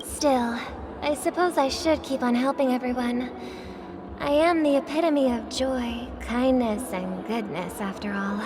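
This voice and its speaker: High-voiced, poised young lady. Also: measured pace, background noise. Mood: smugly resigned. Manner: polite, formal, composed. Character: poised, gracious young celebrity songstress with a weary, smug undertone. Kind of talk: scripted video game dialogue.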